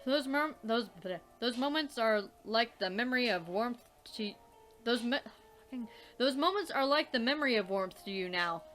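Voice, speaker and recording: A woman's voice: on the high side, lively intonation, moderate pace, a bit bright, faint music behind. A woman hosting a let's play, reading the game's narration aloud and commenting with exasperated swearing and laughter.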